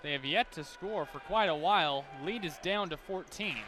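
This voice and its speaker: A man's voice: fairly high pitch, moderate pace. An American man doing live basketball play-by-play as an announcer, describing the action in running detail from courtside in a big arena. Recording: loud crowd and arena noise behind.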